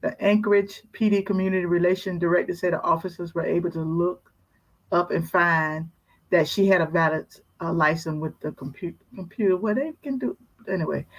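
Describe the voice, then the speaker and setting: A woman co-host with a gravelly, creaky voice reads a news story aloud on a casual talk show. She trips over words now and then and drops wry little asides as she goes. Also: fairly low pitch, rough recording.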